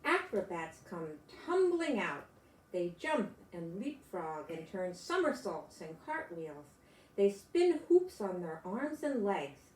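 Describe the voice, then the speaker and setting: An older grandmother reads a children's story aloud. Her delivery is slow and very animated, with exclamations aimed at young listeners. The room around her sounds echoey. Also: mid-range voice, slight background noise. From United States. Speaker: grandmother reading picture books aloud. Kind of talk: children's storybook read-aloud with chatty asides.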